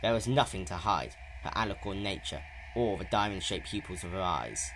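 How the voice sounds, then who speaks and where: Male narrator, lowish pitch, very animated intonation, dramatic story reading, slightly noisy amateur recording. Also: normal speed.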